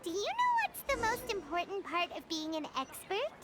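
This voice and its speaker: A woman voicing a tiny bubbly fairy companion who is greedy and boastful, chattering excitably and calling herself by name. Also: very high voice, normal speed, heavy background noise, heavily acted. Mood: chirpy. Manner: excitable, speaks of herself in third person. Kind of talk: video game voice acting. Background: game music.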